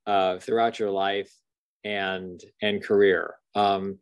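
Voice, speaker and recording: A middle-aged man with a measured, formal manner, hosting an interview over a video call. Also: low-pitched, little pitch movement, clean tone, dry recording. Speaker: interviewer, university dean hosting. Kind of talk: formal scripted introduction, then interview questions.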